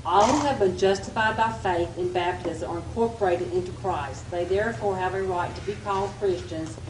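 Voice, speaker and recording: A woman's voice: on the low side, moderate pace. A woman student in a class, reading aloud and earnestly questioning the teacher. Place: church classroom. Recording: distant voice in echoey noisy room.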